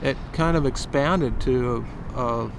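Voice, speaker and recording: A man's voice: medium pitch, measured pace, creaky. An older retired man of Cuban origin with an American accent, speaking thoughtfully as an interview guest and often repeating words as he searches for them. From Cuba. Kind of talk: casual interview chat. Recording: noisy background with traffic.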